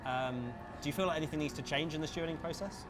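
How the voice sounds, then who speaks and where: A man's voice: fairly high pitch, fast. A man hosting a casual interview while chatting beside a racing game. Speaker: interviewer and host. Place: racing simulator at a busy gaming event. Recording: clear vehicle and ambient background noise.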